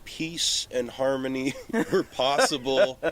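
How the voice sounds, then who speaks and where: A man in a casual podcast conversation, thinking aloud in a loose, halting, reflective way on an outdoor porch. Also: medium pitch. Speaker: podcast guest, filmmaker.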